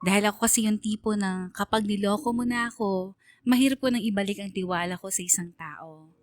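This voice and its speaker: A woman's voice: medium pitch, brisk, faint music behind, close to an everyday voice. An actress playing a troubled young woman who narrates her own story in a radio drama, confiding and earnest. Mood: wistful, confiding.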